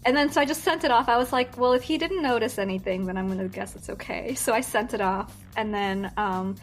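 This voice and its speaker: A young American woman with a fairly high voice talks through her story in a chatty, giggly way, full of fillers and restarts. Faint music sits underneath her voice. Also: a little fast. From United States. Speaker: guest singer. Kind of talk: casual interview chat.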